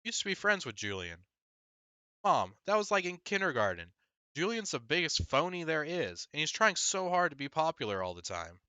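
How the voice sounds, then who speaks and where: A man's voice: fairly high pitch, big pitch swings, moderate pace, bright, forceful tone, smooth, dry recording, pitched above the performer's own voice. A man voicing an opinionated, reluctant middle-school boy, grumbling emphatically in a storybook. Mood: exasperated.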